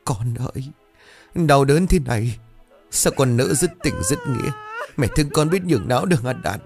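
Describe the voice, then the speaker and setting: A man's voice: medium pitch, animated, normal speed, a little mellow, faint music behind, pitched above the performer's own voice, close to an everyday voice. A man voicing a panicked middle-aged mother who wails and sobs over her son. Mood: sobbing. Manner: frantic, wailing, sobbing.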